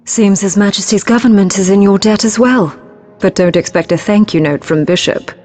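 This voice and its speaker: A woman playing a cool, resolute British secret agent in a video game cutscene, brisk and determined. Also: mid-range voice, close to an everyday voice. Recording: loud music behind the voice.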